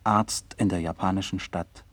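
An actor in a 1960s radio drama playing a serious, respectful doctor, with a low husky voice and a measured delivery. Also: male voice, steady tone, a bit bright, dry recording. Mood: solemn, reverent. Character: concerned, respectful male doctor and visitor, also recounting events solemnly.

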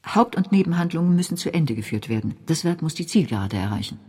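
An actress playing a stern dramaturge in a radio play, laying down rules in a husky, dark, authoritative voice. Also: female voice, low-pitched, animated, quick pace. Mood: prescriptive. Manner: prescriptive, authoritative. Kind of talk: radio play, declarative statements.

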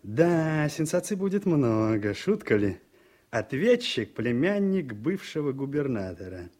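An actor playing a gossipy court clerk in a radio drama, talking in a familiar, insinuating way. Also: male voice, mid-range voice, very animated, unhurried, a little mellow. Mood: gossipy, conspiratorial. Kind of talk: Soviet-era radio drama acting.

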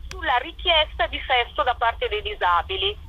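A woman answering interview questions as a playwright and performer, in a colloquial, animated storytelling way. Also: sits high, moderate pace, muffled recording.

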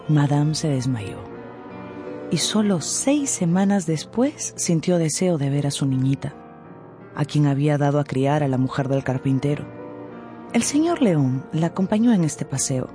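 Expressive low-voiced female storyteller. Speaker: narrator. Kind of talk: scripted dramatized audiobook narration.

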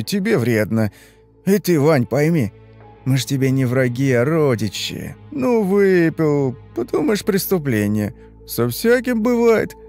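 A man's voice: medium pitch, a little mellow, pitched above the performer's own voice. A man voicing a smug brother-in-law who talks in a mocking, sugary, placating way, in an audiobook story. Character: smug sneering brother-in-law, falsely soothing slacker.

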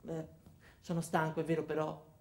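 An actor playing Alfredo, an ordinary weary man confiding his story haltingly in a theatrical radio monologue. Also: a little high, monotone, gravelly, a little mellow. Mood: reflective, weary. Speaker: monologue narrator. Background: music.

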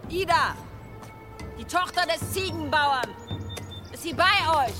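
Fearless medieval swordswoman, radio drama, high voice, scornful, taunting, resolute. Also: animated, unhurried, over music. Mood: defiant. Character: fearless, defiant medieval warrior widow, scornful and bold. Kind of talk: German radio drama acting.

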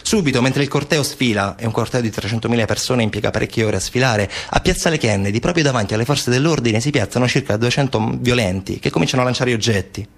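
A man's voice: medium pitch, fast, creaky, hardly any pauses, breathy edge. Man narrating a theatrical monologue. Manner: emphatic repetition, short declarative phrases. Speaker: stage actor and narrator.

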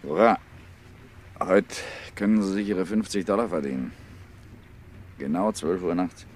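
An actor playing a calculating, obedient ship's officer in a 1950s radio drama, speaking in measured, insinuating hints. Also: male voice, deep voice, a little mellow. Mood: coolly scheming. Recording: noisy 1950s radio recording.

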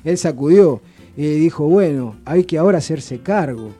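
A man talks on a radio show in a loose, rambling way, restarting his sentences as he goes. His voice is deep-toned and very dark, a little higher than most men's. Soft music plays faintly behind him. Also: lively intonation, normal speed, husky. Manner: rambling, chatty storyteller with many restarts. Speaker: radio guest presenting authors. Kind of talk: casual radio chat.

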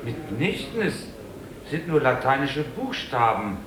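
An actor playing a learned, ponderous, pedantic small-town doctor in a 1950s German radio play. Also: male voice, medium pitch, very animated, a little slow, fairly bright tone. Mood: pedantic, solemn. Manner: ponderous, pedantic reading aloud. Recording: roomy old radio crowd scene.

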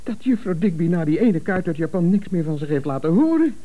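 Nervous grumbly office clerk, high voice, really swoopy, radio comedy style. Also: male voice, sing-song, a little fast, narrow, tinny audio. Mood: fretful, dry. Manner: fretful, grumbling. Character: nervous, put-upon male office employee, sarcastic and fretful.

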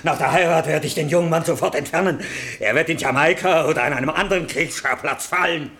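Scornful, scheming squire, high-pitched. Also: male voice, fast, sharp tone, slight background noise. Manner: scornful, commanding. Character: gruff, scheming, irritable squire uncle. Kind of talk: German radio play acting.

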